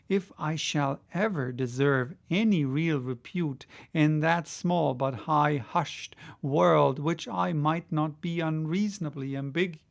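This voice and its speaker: A man reading a classic novel aloud in an expressive, emphatic, oratorical way. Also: on the high side, creaky. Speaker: audiobook reader.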